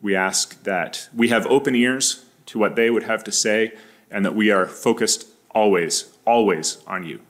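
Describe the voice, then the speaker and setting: Low-voiced man praying, halting. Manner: halting, repeating words as he prays. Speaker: prayer leader. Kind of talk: pastoral prayer.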